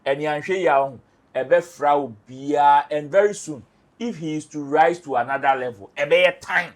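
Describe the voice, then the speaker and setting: This is a man with a Ghanaian accent and a high-set voice, talking in a studio. He argues with heat and keeps repeating his rhetorical questions to drive a point home. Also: normal speed. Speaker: entertainment show panelist. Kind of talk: animated studio discussion.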